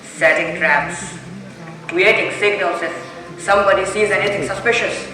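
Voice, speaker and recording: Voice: medium pitch, fairly even intonation, normal speed, lightly acted. A performer playing a resolute survivor of a murder spree in an amateur stage play, speaking emphatically. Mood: grave, planning. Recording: very echoey hall, far mic, faint noise.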